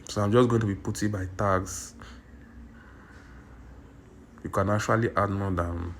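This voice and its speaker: Flat-voiced man giving a tutorial. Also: lowish voice, measured pace, a little hiss or hum. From Nigeria. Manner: repetitive, insistent, self-correcting. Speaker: YouTube tutorial creator. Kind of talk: screen-recorded tutorial. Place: indoors at a computer.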